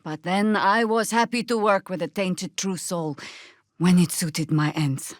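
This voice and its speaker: A woman playing a seasoned older druid warrior in a video game, wry and commanding, with dry, formal, archaic phrasing and a measured, weathered delivery. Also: on the low side, fairly even intonation, dry recording, close to an everyday voice. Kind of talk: video game character acting.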